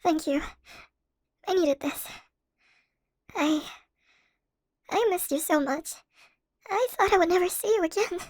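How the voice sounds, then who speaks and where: Woman acting a tiny, high-pitched shrunken college girlfriend. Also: measured pace, pauses often. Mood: tender, relieved. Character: tiny shrunken young college woman, playful affectionate crush. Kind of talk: scripted ASMR audio roleplay.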